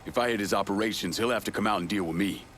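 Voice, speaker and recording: An actor playing a grim, determined vigilante in a video game, terse and hard-edged. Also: male voice, lowish voice, rapid-fire, a bit bright, slight background noise, natural voice. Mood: grim, cool. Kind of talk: video game cutscene acting.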